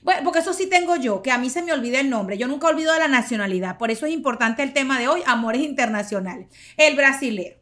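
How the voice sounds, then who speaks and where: High, bright-voiced woman host. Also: expressive, fast talker. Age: 40s. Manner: chatty, playful, laughs often. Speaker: podcast host. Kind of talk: casual storytelling monologue.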